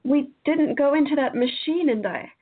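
A woman's voice: sits high, lively intonation, normal speed. An actress playing an earnest, bewildered young woman in a radio play, speaking with an inquisitive manner. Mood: worried, puzzled. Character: earnest, bewildered young woman seeking her missing brother. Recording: narrow phone-like line.

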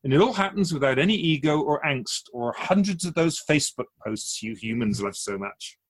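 An actor playing a wise, otherworldly male keeper of souls in a radio drama interview, gently teaching like an outsider to humankind, with a husky voice. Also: on the high side, expressive, normal speed. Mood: reassuring, teaching.

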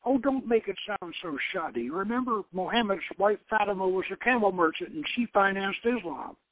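Man playing worldly lady scholar, measured, teasing, storybook reading, thin phone-like audio. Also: sits high, lively intonation, no echo. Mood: explanatory. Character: worldly woman archaeologist, learned and teasing storyteller.

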